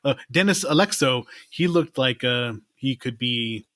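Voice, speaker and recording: A man's voice: medium pitch, measured pace, no room sound, studio quality. A middle-aged man co-hosting a podcast in casual chat, laughing often as he talks. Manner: laughs often, animated and giggly. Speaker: podcast co-host.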